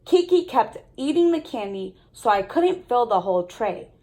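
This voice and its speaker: A woman reading a children's story aloud in an expressive storytelling manner. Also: a little high, normal speed. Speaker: storybook reader. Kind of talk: children's story read-aloud with brief commentary.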